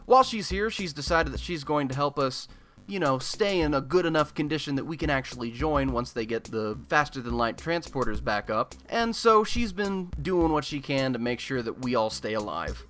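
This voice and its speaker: A man's voice: mid-range voice, expressive, normal speed, fairly bright tone, natural voice. A man playing a nerdy, cocky young broadcast host in a superhero radio play, talking in a chatty, sarcastic and emphatic monologue. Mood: chatty, explanatory. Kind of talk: casual radio-show monologue in a scripted audio drama.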